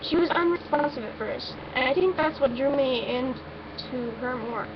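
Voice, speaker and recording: Woman, high-pitched voice, amateur story reader, informal delivery with occasional stumbles, noticeable background noise. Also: normal speed. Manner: informal, stumbles and breaks off with asides. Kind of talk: first-person story reading, informal. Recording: muffled, noisy amateur recording.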